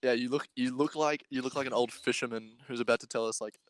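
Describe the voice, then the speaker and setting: A man's voice: medium pitch, steady tone, fast talker, no echo. A young man chatting and bantering as a podcast panellist. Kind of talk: casual banter.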